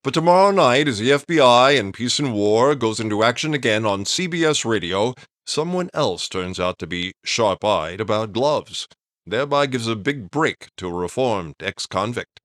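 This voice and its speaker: A man's voice: medium pitch, expressive, moderate pace, a bit bright, dry recording, clean studio sound. A man reading a scripted, polished promotional announcement in 1950s network radio style.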